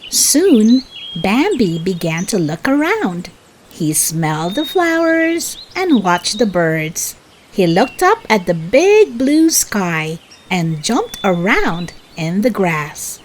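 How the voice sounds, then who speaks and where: A woman narrating a children's read-aloud story in a deliberate, expressive storytelling manner for young listeners. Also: mid-range voice, a little hiss or hum.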